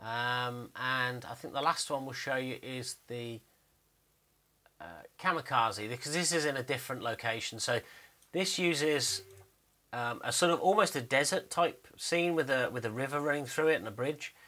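A man with a very bright, forward voice at an average pitch talks through a screen recording of his animation work. He is casual and unscripted, rambling and hesitant as he explains, in a modest, self-deprecating way. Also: normal speed. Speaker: animator and video narrator.

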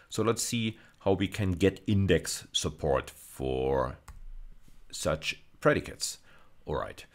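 A middle-aged man lecturing on technical slides, explaining step by step with hesitations and frequent check-ins. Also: extremely low pitch. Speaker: university lecturer.

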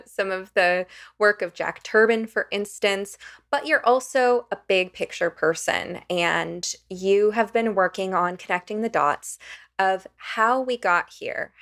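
A woman's voice: medium pitch, a bit bright. A woman in her thirties hosting a podcast interview, chatty and enthusiastic as she introduces her guest. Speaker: podcast host. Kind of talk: interview conversation. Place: room at home. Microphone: headset with studio mic.

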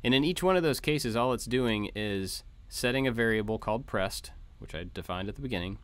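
A man's voice: medium pitch, lively intonation, brisk, a bit bright, clean tone. A man narrating an informal code walkthrough as a tutorial host, explaining things step by step. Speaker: host of a maker tutorial channel. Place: voice-over for a screen recording.

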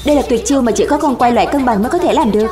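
A woman acting as a high, excitable young cartoon hero. The delivery is dramatic and full of exclamation, in the manner of an animated dub, with music playing behind. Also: very fast, close to an everyday voice. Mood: amazed. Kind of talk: animated cartoon dub, several characters under one speaker number.

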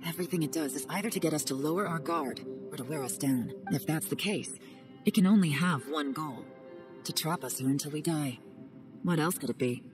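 An actress voicing a cool, secretive young woman agent in a game cutscene, speaking in a calm, measured way. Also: lightly acted. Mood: calm, analytical. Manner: calm, measured, low-key. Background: game music.

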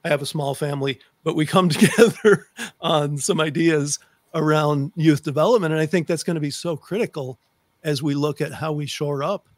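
A man's voice: a little high, normal speed. A middle-aged American man hosting a conversational interview, speaking into a close desk microphone. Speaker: podcast host. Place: room with bookshelves, on video call. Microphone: close studio microphone on desk.

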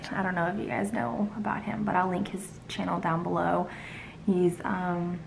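A woman's voice: fairly low pitch, creaky, a little mellow. A young woman vlogs from her kitchen, talking close to her phone. She sounds worn out and rambles casually, padding her thoughts with ums and likes. A faint hiss sits under the home recording. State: tired. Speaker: vlogger. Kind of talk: casual vlog chat. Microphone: phone held close.

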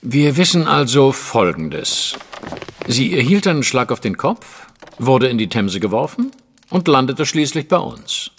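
An actor playing a shrewd, impatient gentleman detective in a German audio drama, speaking precisely and formally. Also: mid-range voice, big pitch swings, a little slow, slight background noise. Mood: probing.

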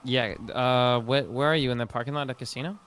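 A man's voice: mid-range voice, steady tone, fast talker, a bit bright, slight background noise. A man chatting casually as a co-player in a game, slangy and eager, often repeating himself. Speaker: streamer's co-player handling sound effects.

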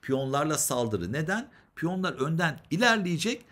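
Animated man explaining chess moves. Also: fairly high pitch, normal speed. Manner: explanatory, addresses viewers with rhetorical questions. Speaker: chess instructor, commentator. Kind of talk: chess game analysis commentary.

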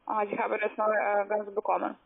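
A woman working as a call-center caller, speaking halting, broken German with many hesitant fillers. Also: medium pitch, completely flat delivery, gravelly, a crowd in the background. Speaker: phone scam caller. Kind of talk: phone call. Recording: narrow phone line.

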